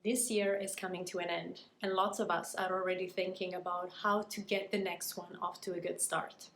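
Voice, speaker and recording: A woman from Italy, a native Italian speaker with an Italian accent, gives a prepared educational talk as a language coach, articulate and deliberate in her emphasis. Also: fairly low pitch, level tone, a bit bright. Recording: echoey room with faint noise.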